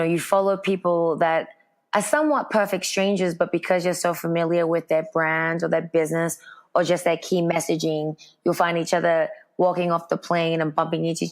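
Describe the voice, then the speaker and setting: A hoarse woman in her thirties with a raspy, softened Australian accent, chatting as an interview guest with frequent ums. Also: on the low side. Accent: Australian, softened by years in the US. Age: 30s. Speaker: guest, fitness speaker. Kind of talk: casual interview chat.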